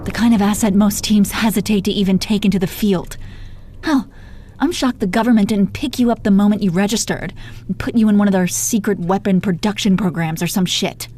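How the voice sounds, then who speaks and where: A woman's voice: mid-range voice, fairly even intonation, a little fast, a little mellow, natural voice. A woman playing a gloating, menacing female supervillain in a roleplay audio, condescending, sardonic and exasperated, with heavy swearing. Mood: exasperated lecturing. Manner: taunting, condescending pet names, heavy swearing. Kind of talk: dramatic roleplay audio monologue.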